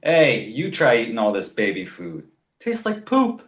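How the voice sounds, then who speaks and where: A man voicing a cheeky, crude talking baby boy in an animated comedy table read, sarcastic and blunt. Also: mid-range voice, measured pace. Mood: indignant. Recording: roomy echo.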